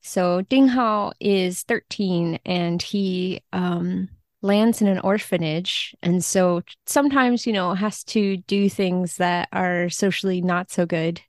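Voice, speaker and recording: A woman chatting casually and wryly about books as a podcast guest, quick to laugh. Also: on the low side, no echo, clean studio sound. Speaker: guest, literary translator.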